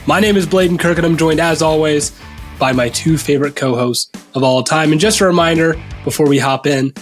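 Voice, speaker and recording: A man's voice: a little high, fairly quick, soft background music. A young man hosting a casual sports podcast, upbeat and joking as he runs the show. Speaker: podcast host.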